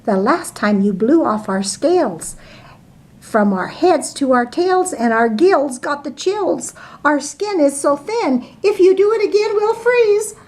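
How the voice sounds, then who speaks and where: A woman's voice: high-pitched, lively intonation, a little slow, a little mellow, voice pushed up. A woman voicing a group of worried fish who plead anxiously.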